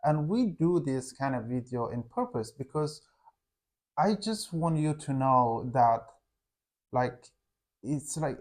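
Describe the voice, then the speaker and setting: Young man, English, mid pitch, casual and halting, teacher chatting with learners. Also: darkish tone. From Afghanistan. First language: Hazaragi. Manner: halting, searching for words, frequent "like". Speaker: English teacher and channel co-host. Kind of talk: casual conversation with his wife for learners.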